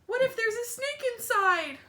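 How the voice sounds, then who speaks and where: Woman voicing an anxious little mouse girl, high-pitched. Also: lively intonation, normal speed, pushed and bright, full character voice. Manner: fretful questioning. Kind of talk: picture book read-aloud. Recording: roomy, echoey home recording.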